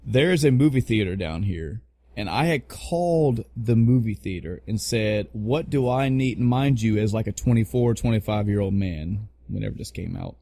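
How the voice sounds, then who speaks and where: A man's voice: lowish voice, animated, moderate pace, warm tone, no room sound. A man in his thirties chatting casually on a podcast, blunt and joking, swearing freely and repeating phrases for emphasis. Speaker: podcast co-host. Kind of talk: casual opinionated podcast chat.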